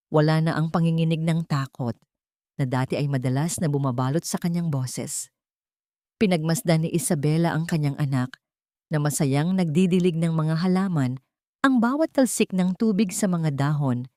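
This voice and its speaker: A woman with a Filipino accent narrates a sentimental drama in a fairly low voice. Her delivery is measured and emotive, a scripted storytelling read. The sound is very dry, with no room around it. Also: warm tone, dry recording.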